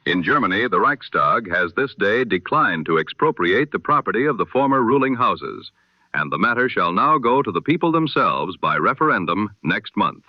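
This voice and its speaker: A man delivers the news in the measured, formal cadence of an old-time radio announcer. His voice sits low, and his intonation rises and falls with plenty of movement. The sound is dry and muffled, like a vintage broadcast. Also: big pitch swings, dry recording. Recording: lo-fi, dull radio-style sound.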